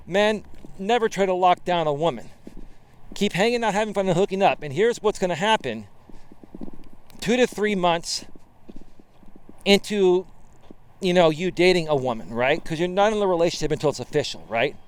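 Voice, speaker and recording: Male dating coach, late 40s, smooth voice sitting slightly high, emphatic walking-vlog delivery recorded outdoors. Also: animated, moderate pace, long pauses. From Bay Area, California. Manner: emphatic, keeps tagging "right?". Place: outdoor trail.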